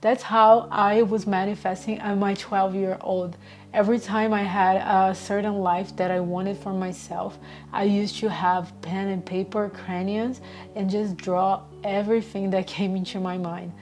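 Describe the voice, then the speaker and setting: Mellow-voiced woman vlogging gently. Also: mid-range voice, level tone, a little slow, slight background noise. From Brazil. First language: Portuguese. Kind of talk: casual reflective monologue.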